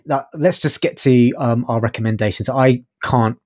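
A man chats as co-host of a review podcast. He has a medium pitch and an informal, appreciative way of talking in loose phrases. There is hardly any room sound around him. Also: normal speed, no room sound, studio quality. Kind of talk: casual book review discussion.

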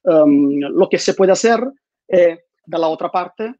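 Man, high voice, lo-fi call. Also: slow, mellow, dry recording. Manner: many fillers and repeated words. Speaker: conference speaker. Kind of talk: online conference talk. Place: home room with bookshelves. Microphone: lapel mic on a video call. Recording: dull dry home video call.

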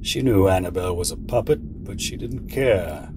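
A man's voice: sits low, expressive, moderate pace. A man playing a scheming, condescending cult mastermind in an audio drama, speaking in a dramatic, portentous way. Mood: smug, reflective. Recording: noisy background ambience.

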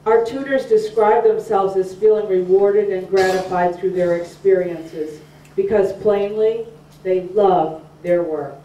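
An older woman delivering a formal, scripted speech in a measured way. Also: mid-range voice, warm tone, slight background noise. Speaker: program speaker. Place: community room, at a podium. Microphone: podium mic, far camera. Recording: dull, echoey room from far off.

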